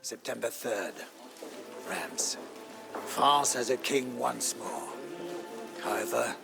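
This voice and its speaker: A man playing a weary, devoted French knight who chronicles a medieval war in a solemn, theatrical, gravelly voice, as dramatic game narration. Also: medium pitch, takes it slowly. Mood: wary, urgent. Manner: solemn, theatrical, with hushed phrase endings. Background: prominent music.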